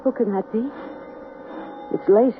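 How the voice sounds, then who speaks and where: An actress playing a tense, domineering, scheming wife in an old-time radio drama, sharp and commanding, on a tinny, noisy recording. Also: female voice, on the high side, a little slow, natural voice. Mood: firm, uneasy.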